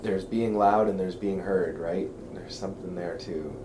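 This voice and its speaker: A man hosting a casual interview in an agreeable, halting way, often trailing off mid-thought. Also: sits low, steady tone, background noise. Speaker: interview host. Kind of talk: casual chat.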